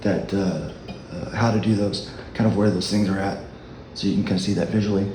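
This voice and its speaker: A man with a low voice giving a relaxed, halting dulcimer lesson as a worship leader. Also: roomy sound, background noise. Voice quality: low speaking voice. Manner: unhurried, halting explanation. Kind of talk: casual music tutorial.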